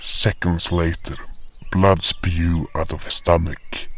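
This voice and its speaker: A man's voice: deep voice, little pitch movement, takes it slowly, a bit bright. A man playing a remorseful furred frostborn creature, narrating his own fantasy tale in a solemn, dramatic manner. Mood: grave remorse. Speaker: narrator and voice actor. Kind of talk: first-person dramatic fantasy narration in character. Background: music.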